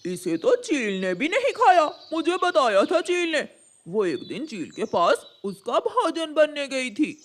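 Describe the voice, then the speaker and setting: Woman putting on a loud, jeering crow bully. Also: high voice, sing-song, a little slow. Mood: mocking. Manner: taunting, scornful, loud. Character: mean, mocking male crow bully.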